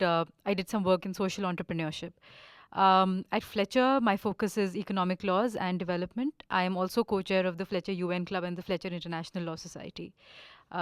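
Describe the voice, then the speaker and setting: A woman's voice: on the low side, clean tone, no echo, studio quality. A young woman with an Indian accent introducing herself as a graduate student panelist. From New Delhi, India. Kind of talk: self-introduction.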